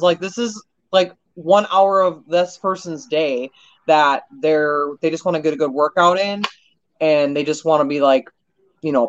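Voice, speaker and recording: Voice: mid-range voice, no echo, highs cut off. A podcast co-host talking casually in an earnest, rambling way. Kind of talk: casual chat.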